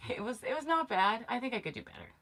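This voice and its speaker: A woman's voice: on the high side, sing-song, fast talker, pushed and bright. A middle-aged woman co-hosting a casual camping live stream from a small camper, chatty and laughing often. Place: small insulated camper interior. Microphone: fixed camera framing two people on a bench.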